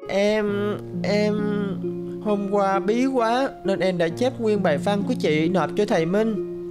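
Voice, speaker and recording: A woman's voice: mid-range voice, takes it slowly, over music, lightly acted. A woman voicing a sheepish little schoolboy in a cartoon, fretting to himself in a childlike, hesitant way. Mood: guilty, alarmed. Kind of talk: cartoon dialogue and inner monologue.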